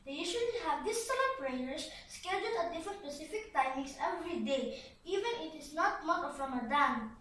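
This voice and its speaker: A woman's voice: high voice, expressive, a little fast, fairly bright tone, a little hiss or hum. A woman voicing earnest, polite young boy puppets with careful, recited delivery in a scripted puppet show. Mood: earnest, explaining. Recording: roomy echo, slightly distant mic.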